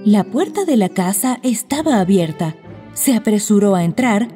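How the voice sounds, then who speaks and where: A woman's voice: medium pitch, animated, normal speed, mellow, big-room sound, faint music behind. A woman narrating a children's bedtime fairy tale from a script.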